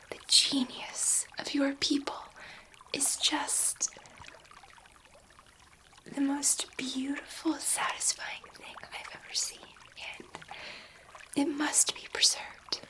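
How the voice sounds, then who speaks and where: A woman playing a gentle, awed alien scientist in a whispered ASMR sci-fi roleplay, speaking tenderly and reassuringly with halting pauses in a soft, breathy voice. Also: high-pitched, exaggerated voice. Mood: awed, earnest.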